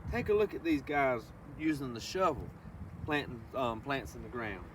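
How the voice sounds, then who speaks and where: A man's voice: mid-range voice, expressive, moderate pace. A man reading a picture book to children, speaking to them directly in a folksy, instructive way. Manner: instructive, addresses listeners directly with folksy asides and questions. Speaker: presenter of a children's read-along. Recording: distant microphone with faint background noise.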